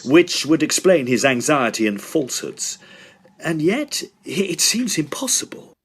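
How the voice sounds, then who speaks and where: A man's voice: on the high side, big pitch swings, unhurried, slightly rough, full character voice. A man playing a serious, sceptical young Victorian medical student in an audio drama, terse and guarded. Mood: doubtful, firm.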